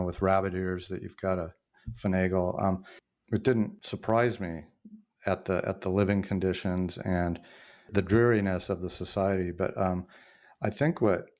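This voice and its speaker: A man in his sixties, a former journalist being interviewed, telling stories in a reflective, halting way with frequent restarts. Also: deep voice, darkish tone. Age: 60s. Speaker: podcast guest, former journalist. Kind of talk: casual storytelling interview.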